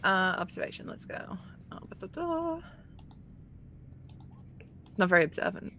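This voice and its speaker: A woman's voice: medium pitch, big pitch swings, unhurried, a bit bright. A woman playing a tabletop roleplaying game, chatting casually and improvising her character's actions. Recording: faint background noise, keyboard clicking.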